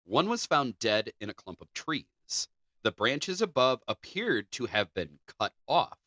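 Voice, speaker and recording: A man's voice: mid-range voice, very animated, unhurried, bright, forceful tone, no room sound. A man co-hosting a podcast, moving between reading scripted narration and informal chat. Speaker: podcast co-host.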